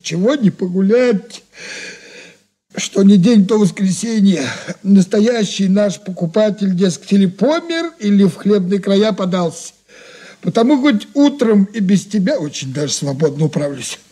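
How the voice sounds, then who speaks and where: Slow, husky, humble old-time servant. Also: male voice, high-pitched, animated, dark tone. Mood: chatty, folksy. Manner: rambling, folksy, deferential. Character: humble, folksy older shop servant, rambling and mournful. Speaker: actor in a radio play. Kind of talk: 1980s Soviet radio drama acting.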